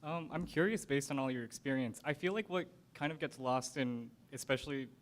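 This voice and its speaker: A young man moderating a panel, asking questions in a hesitant, searching way. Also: a little fast. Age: young adult. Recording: rumbly background noise.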